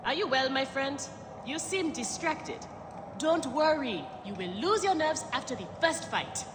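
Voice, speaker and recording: Cocky gladiator lady in a game, swaggering, really animated, echoey hall w noise behind. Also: high voice, measured pace. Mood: friendly, proud. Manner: swaggering, exuberant. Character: brash, boastful female gladiator, hearty old comrade. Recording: reverberant hall, noisy ambience behind.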